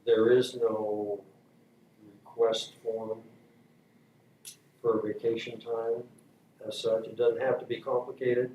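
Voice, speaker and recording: Guy in a meeting, flat mellow voice, off mic w some faint noise. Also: mid-range voice, slow pace, pauses often. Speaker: meeting participant. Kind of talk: informal meeting remarks. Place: meeting room, picked up from a distance. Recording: echoey room, distant mic, faint noise.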